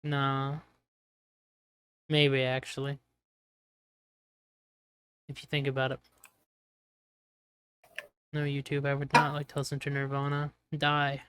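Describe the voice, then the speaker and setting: Clear-voiced young man running a game. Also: mid-range voice, completely flat delivery, takes it slowly, pauses often, fairly bright tone. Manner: joking, teasing, trails off mid-sentence. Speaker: game master. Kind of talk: casual voice chat running a tabletop game. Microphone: online voice chat mic.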